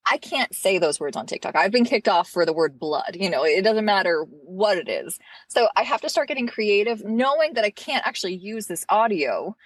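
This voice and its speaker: Animated woman audiobook narrator. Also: no room sound. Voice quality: low smoky voice. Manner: animated, laughs often, fast asides. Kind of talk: casual interview chat.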